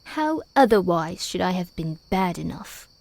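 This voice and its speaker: A woman playing a precocious, charming young boy in a gothic audio drama, teasing, polite and deliberate. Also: on the low side, sing-song, creaky, heavily acted. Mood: sly confession.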